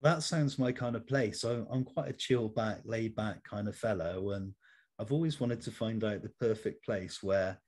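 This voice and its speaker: A man hosting an interview, putting prepared questions in a laid-back, slightly halting way. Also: fairly low pitch, darkish tone. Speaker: interview host. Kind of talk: scripted interview questions with casual chat.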